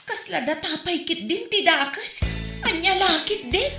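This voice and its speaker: A woman's voice: extremely high pitch, expressive, unhurried, roomy sound, over loud music, heavily acted. A woman with an Ilocano accent, playing a borrower who pleads and complains about a friend's demands. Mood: aggrieved. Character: harried borrower woman, defensive and aggrieved.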